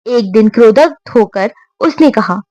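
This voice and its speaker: Slow, high-voiced woman telling devotional tales. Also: animated, dark tone, dry recording, dull audio. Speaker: storyteller. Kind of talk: scripted devotional storytelling narration.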